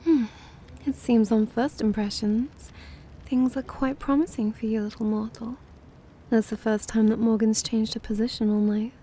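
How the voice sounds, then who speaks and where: A woman's voice: on the high side, fairly even intonation, moderate pace, dark tone, a little hiss or hum, lightly acted. A woman playing a playful, condescending vampire mistress who looks young, drawling languidly in an ASMR roleplay. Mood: appraising.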